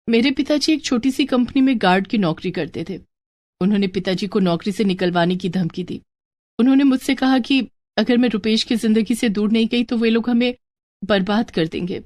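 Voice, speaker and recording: A woman voicing a gentle, polite young village woman in a storybook drama, speaking earnestly. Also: medium pitch, natural voice.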